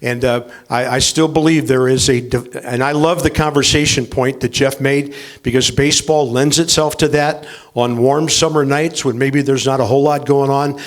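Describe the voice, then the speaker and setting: An older man in his sixties, a veteran baseball announcer, explaining his views in a measured way during a panel discussion. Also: medium pitch, steady tone.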